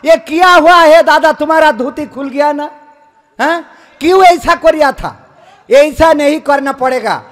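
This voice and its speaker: A man's voice: very high-pitched, moderate pace, a bit bright. A man performing a comic skit in a colloquial, indignant, scolding manner. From Bangladesh. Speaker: comedian. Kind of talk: comic skit with mock phone call.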